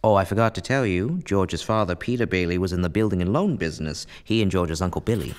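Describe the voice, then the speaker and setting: Man playing a low-voiced, authoritative senior angel. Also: close to an everyday voice. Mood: storytelling. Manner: measured, explanatory, kindly. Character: calm, authoritative senior male angel giving instructions. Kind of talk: radio play acting.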